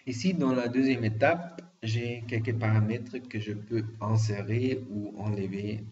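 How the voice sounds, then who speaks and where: A man's voice: lowish voice, a little mellow. German-accented man, muffled tutorial voice-over. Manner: hesitant, frequent "euh" fillers, careful explaining. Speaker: software tutorial presenter. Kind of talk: screen-recorded software walkthrough. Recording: muffled lo-fi voice-over with faint hiss.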